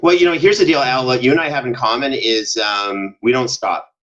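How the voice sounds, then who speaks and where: Animated male interview guest, dull lo-fi sound. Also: medium pitch, fairly quick. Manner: animated storyteller, acts out quoted exchanges. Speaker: podcast guest, audio drama producer. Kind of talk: casual interview chat, anecdotal.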